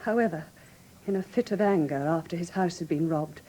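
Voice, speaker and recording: A woman with an English received pronunciation accent playing a frightened, grieving young gentlewoman who speaks formally and earnestly in a classic radio drama. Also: on the low side, fairly quick, natural voice, poor audio. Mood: grave, troubled. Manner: formal, earnest, precise storytelling.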